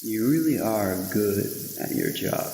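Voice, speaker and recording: A young man acting in a radio drama as an earnest, hesitant man facing his final words, speaking haltingly and thoughtfully. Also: on the low side, animated, creaky, warm tone, reverberant, in a large hall, slight background noise. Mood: quietly pleased. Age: young adult.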